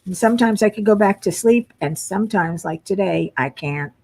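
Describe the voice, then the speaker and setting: A middle-aged woman with a low voice, tired from lack of sleep, chatting casually to viewers as host of a crafting livestream. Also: animated, moderate pace, a little mellow, husky. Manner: chatty, muttering while searching for pieces. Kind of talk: casual live chat while diamond painting.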